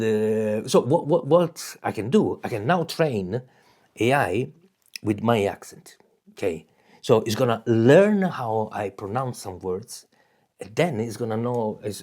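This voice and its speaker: An Italian-accented man explaining his home AI machine off the cuff. He stops and restarts often, fills gaps with "uh", and laughs lightly at himself. His voice sits at a middle pitch for a man. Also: a little mellow. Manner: halting, many fillers and restarts, laughs often. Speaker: tech video host. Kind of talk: casual unscripted explainer.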